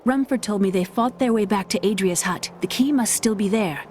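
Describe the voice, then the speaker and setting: A woman's voice: mid-range voice, brisk, a little mellow, slight background noise, close to an everyday voice. An actress playing an earnest young woman who survived an attack, speaking plainly and steadily in a video game. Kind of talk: video game dialogue voice acting.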